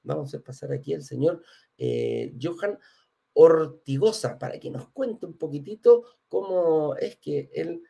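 A man's voice: on the high side, big pitch swings, a little slow, vocal fry, warm tone, husky. A man with a Chilean accent hosting a live class and interview in an informal, chatty way. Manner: chatty, informal, uses affectionate diminutives. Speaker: host of a live real estate investment class.

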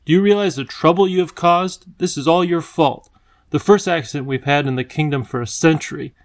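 Man voicing a fussy, officious creature with creaky voice. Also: medium pitch, natural voice. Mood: angry scolding. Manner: brisk, officious, grave. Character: towering, fussy, irritable but soft-hearted royal official creature.

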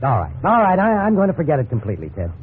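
A man's voice: a little high, wildly swooping pitch, rapid-fire. An actor playing an easygoing but worried middle-aged family man, talking in a folksy, conversational 1950s radio drama style. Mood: eager, deferential. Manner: conversational, reasoning aloud, folksy. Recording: tinny, noisy 1950s radio recording.

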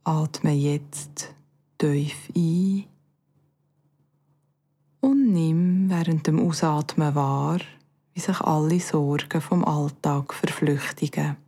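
Slow, low-voiced Bernese woman guiding meditation. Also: husky. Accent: Bernese Swiss German. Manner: calm, soothing, measured with long pauses. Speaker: yoga nidra instructor. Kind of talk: guided meditation.

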